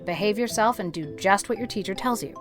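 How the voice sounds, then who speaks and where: A woman playing a stern, unsentimental middle-aged guardian in a storybook reading, speaking dryly and briskly. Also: medium pitch, animated, faint music behind, natural voice. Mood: dry, scolding. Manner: dry, brisk, unsympathetic.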